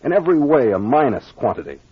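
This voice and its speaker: A self-assured detective captain in an old radio mystery serial. He explains things methodically with animated intonation and a husky edge, captured on a dry, dull vintage recording. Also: mid-range voice, no echo. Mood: explanatory, assured. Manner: deliberate, authoritative storytelling.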